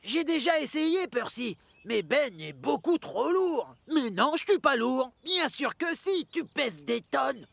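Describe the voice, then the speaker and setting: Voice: high-pitched, sing-song, slow, vocal fry, few pauses, a bit bright, exaggerated voice. An actor voicing cheeky young twin tank engines in a children's cartoon, whining and bickering in exclamations. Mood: squabbling. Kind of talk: dubbed children's cartoon dialogue.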